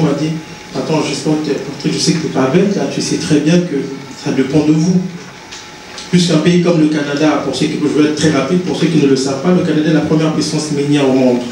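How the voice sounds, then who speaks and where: A man's voice: fairly high pitch, darkish tone, a little hiss or hum. Earnest man speaking in an echoey hall. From Congo. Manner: earnest, repeats and restarts words. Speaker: invited speaker, author. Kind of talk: impassioned public talk. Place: conference hall. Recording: echoey hall, distant mic.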